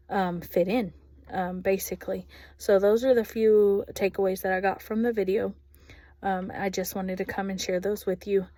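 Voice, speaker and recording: A woman in her thirties with a Texas accent, an ESL aide giving a thoughtful spoken reflection with frequent pauses and ums. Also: medium pitch, darkish tone, no room sound. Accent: Texan. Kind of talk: spoken reflection on a course video.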